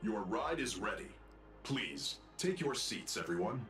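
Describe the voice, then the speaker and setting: A courteous robot guard from a video game, with strong echo on the voice. The delivery is formal and polite with animated intonation, and music plays behind it. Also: male voice, on the low side, measured pace. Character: courteous robot security guard and corporate escort. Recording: echoey voice over music.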